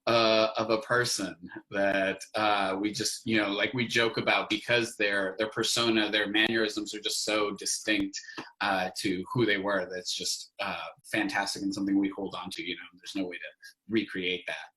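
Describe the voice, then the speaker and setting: A man's voice: fairly low pitch, level tone, moderate pace, bright, forceful tone, low-quality recording. A man co-hosting a podcast, talking reflectively with a lot of "like" fillers. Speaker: podcast co-host. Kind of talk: casual podcast conversation.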